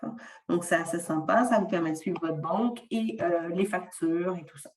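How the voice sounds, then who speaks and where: A woman's voice: fairly low pitch, normal speed, darkish tone, far from the mic. A woman with a slight non-French francophone accent presents a workshop, explaining each point with frequent hesitations. Her voice sounds set back from the microphone in an echoing room.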